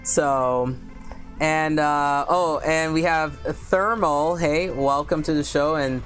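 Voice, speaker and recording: A woman with a deep voice hosting a casual book livestream, chatting candidly with viewers and reading their comments aloud. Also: faint music behind. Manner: speaks slowly with many fillers.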